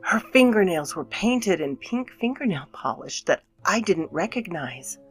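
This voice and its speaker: A woman playing an anxious, guilt-ridden mother in a dramatic scripted horror narration, theatrical and dipping into breathy near-whispers. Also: fairly low pitch, sing-song, a little slow, faint music behind. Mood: confessional, uneasy.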